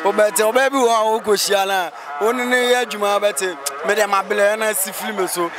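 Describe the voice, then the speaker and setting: A man's voice: sits high, fairly even intonation, a little fast, over loud music. A man with a Ghanaian accent giving his opinions casually in a street interview. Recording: street recording with crowd and traffic.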